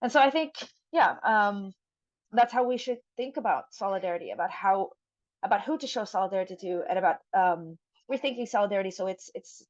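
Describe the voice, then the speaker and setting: A woman's voice: mid-range voice, expressive, fairly quick, no room sound, muffled recording. A woman from Jerusalem speaking on a forum panel over a video call, thoughtful, with frequent fillers and restarts.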